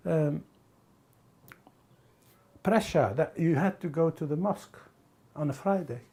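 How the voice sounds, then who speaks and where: A man from the Middle East or North Africa talking casually and reflectively, haltingly searching for words. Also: fairly high pitch, mellow, breathy edge. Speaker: documentary interviewee.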